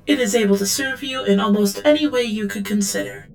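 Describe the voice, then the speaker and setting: Woman playing an obedient creaky-voiced female android. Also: mid-range voice, natural voice. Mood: compliant, formal. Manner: formal, measured, deferential. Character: obedient female android servant, guarded and self-effacing. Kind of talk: scripted audio drama acting.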